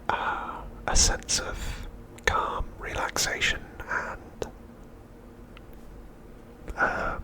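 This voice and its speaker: A man hosting ASMR in a breathy whisper, speaking in a gentle, soothing and reassuring manner. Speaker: ASMR channel host.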